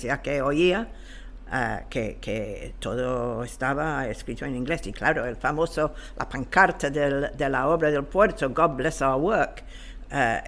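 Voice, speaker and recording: Casting: male interviewee with a fry-laden voice, unhurried and halting, explaining history while feeling for the right word. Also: medium pitch, a bit bright. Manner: hesitant, halting, searching for words.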